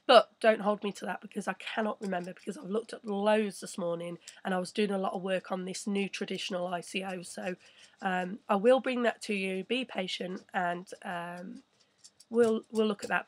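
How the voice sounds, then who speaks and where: A woman hosting a casual live stream, chatting and rambling with a croaky voice. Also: medium pitch, steady tone, normal speed. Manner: chatty, rambling, reads viewer messages. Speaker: crypto channel host.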